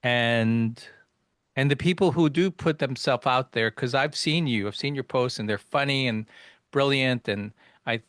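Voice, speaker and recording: A man hosting an interview podcast, asking questions in a hesitant way with many pauses and restarts. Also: medium pitch, fairly bright tone, no echo, studio quality. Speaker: podcast host.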